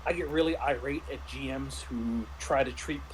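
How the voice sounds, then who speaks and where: A man's voice: medium pitch, normal speed, background noise. A man in a casual discussion, explaining like a game master and often rephrasing himself mid-thought.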